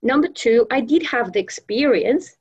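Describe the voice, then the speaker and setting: A woman's voice: a little high, animated, moderate pace, husky. A woman from Venezuela whose first language is Spanish, a professional trainer being interviewed, talking with many fillers and restarts. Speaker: guest, professional trainer and mentor. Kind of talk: interview conversation.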